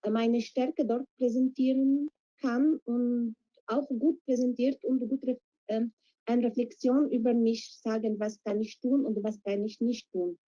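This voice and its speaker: A woman speaks in a fairly high but very mellow, dark-toned voice. She searches for words and fills her pauses with hesitations. The audio is dull and lo-fi, like an online call. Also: steady tone. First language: Arabic. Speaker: project participant. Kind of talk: interview answers in learner German. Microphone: video call.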